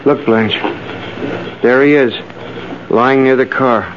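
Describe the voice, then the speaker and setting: An actor playing a nervous, obedient accomplice in an old radio mystery, hesitant and stammering, reluctant but submissive. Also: male voice, medium pitch, steady tone, slow, lightly acted. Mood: frightened, pleading. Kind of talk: 1940s-50s radio mystery acting. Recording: dull, noisy old radio recording.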